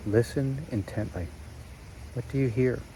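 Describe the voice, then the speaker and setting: A man narrating a guided meditation in a slow, soothing way with long pauses. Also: medium pitch, warm tone, slight background noise, rough recording.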